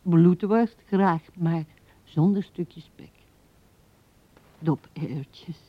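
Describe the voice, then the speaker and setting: An actress playing an old widow who muses tenderly and haltingly to her late husband in a radio drama, often dropping to a hush. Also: lowish voice, no echo. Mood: reminiscing. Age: older. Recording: dull 1970s radio recording.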